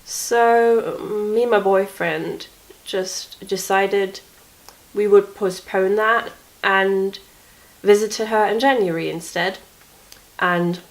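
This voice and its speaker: A woman's voice: mid-range voice, low-quality recording. A young woman telling stories from bed in a chatty, wry, self-mocking way, sniffly and coughing from a cold. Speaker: vlogger. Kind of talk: casual storytelling vlog from bed.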